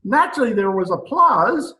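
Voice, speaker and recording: A man's voice: sits high, sing-song, moderate pace, pushed and bright, reverberant, a little hiss or hum, heavily acted. A man playing a pompous, blustering dictator president in an old-time radio comedy recorded over a video call. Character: pompous, excitable dictator president of a banana republic.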